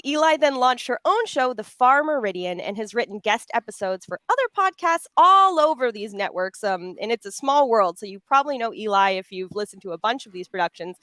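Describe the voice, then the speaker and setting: A woman's voice: a little high, a bit bright, no room sound. A woman hosting a panel, chatty and effusive, with emphatic delivery as she addresses guests and audience. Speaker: panel host and audio drama voice actor. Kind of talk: hosting a pre-recorded panel, introducing guests.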